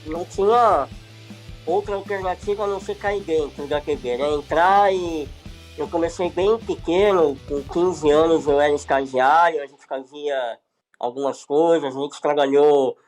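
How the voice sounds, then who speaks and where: A middle-aged man tells his career stories in a loose, rambling way. His voice sits a little high, with faint music underneath. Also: measured pace. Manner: relaxed, rambling storyteller. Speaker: TV producer and director, guest. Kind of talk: casual podcast interview.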